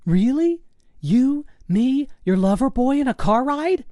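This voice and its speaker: A man's voice: very high-pitched, sing-song, a little slow, mellow, dry recording, clean studio sound, pitched above the performer's own voice, heavily acted. A man voicing a sarcastic, dramatic teenage girl in an audiobook, with an exasperated, mocking manner. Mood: incredulous. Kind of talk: audiobook character dialogue.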